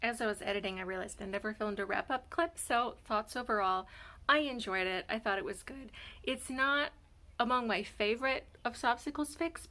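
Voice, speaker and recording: A woman gives casual, expressive reactions as a reading vlogger. Her voice sits a little high and is bright, with noticeable creak. She often halts or trails off mid-thought. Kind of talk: casual reaction vlog chat.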